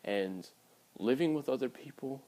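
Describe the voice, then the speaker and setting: A man's voice: medium pitch, expressive, measured pace, warm tone. A man in his early twenties talking casually and candidly to his viewers in a blunt, sweary vlog style. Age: early 20s. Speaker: vlogger.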